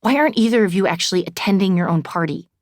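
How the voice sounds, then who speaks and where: A woman's voice: fairly low pitch, fast talker, dry recording, clean studio sound, lightly acted. A woman voicing an exasperated, sardonic adult woman in audiobook dialogue, wry and indignant. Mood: skeptical.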